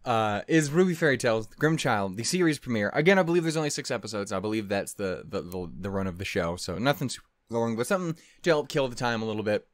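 A man in his thirties giving casual, rambling reaction commentary as a host, swearing and halting as he digresses. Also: fairly low pitch, lively intonation, dry recording, clean studio sound. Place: small furnished room at home, indoors. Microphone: close desk condenser microphone.

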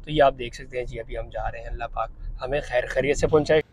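A man's voice: medium pitch, steady tone, quick pace, husky. A man in his thirties from Pakistan, talking viewers through a property site while driving, in a chatty salesman's tone with a lapel mic inside a car. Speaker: property dealer vlogger. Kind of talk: driving tour commentary.

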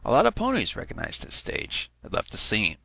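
A man reads a story aloud in a low voice with a gravelly creak. His delivery is lively and moves around in pitch as he narrates. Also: a little fast, no echo. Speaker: fan fiction narrator.

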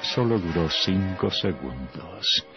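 Sinister horror storyteller, radio play, slow, theatrical, gleefully morbid, muffled old recording. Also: male voice, low-pitched, expressive, music in the background, full character voice. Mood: macabre relish. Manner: theatrical, deliberate, morbidly relishing. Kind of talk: dramatic radio horror narration.

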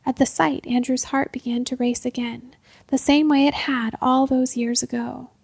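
Female narrator for fiction, slightly high with heavy creak, measured scripted read, very dry sound. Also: flat delivery, darkish tone, no echo. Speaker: storyteller, narrator.

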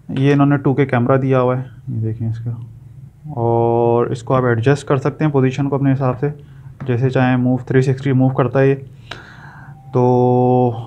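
Man, dull noisy recording. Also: mid-range voice. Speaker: product reviewer giving a tutorial. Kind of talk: informal step-by-step product demonstration. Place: indoor room, tabletop demonstration. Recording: dull sound with background noise.

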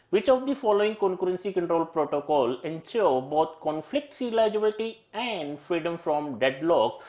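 A man's voice: high-pitched, a little mellow. A middle-aged man teaching an exam-prep lecture in a studio classroom, repeating key points and often addressing his students directly. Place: studio classroom at a digital whiteboard.

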